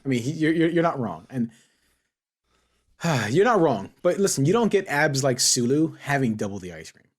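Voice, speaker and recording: Man, podcast host, casual banter, very animated pitch, halting delivery with repeated words, chuckly. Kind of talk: casual comedic panel chat.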